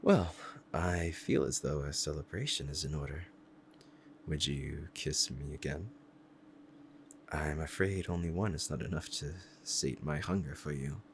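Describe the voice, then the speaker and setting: Man acting a deep-voiced, devoted demon lover. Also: unhurried, a little hiss or hum. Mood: adoring, hungry. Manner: formal archaic diction, earnest and reverent. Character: powerful male demon, devoted servant in love with master. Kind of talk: romantic ASMR audio roleplay.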